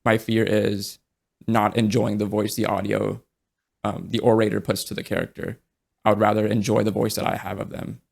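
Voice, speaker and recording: A young man in casual podcast conversation, talking in a relaxed, rambling way with many fillers and restarts. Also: on the low side, normal speed, gravelly.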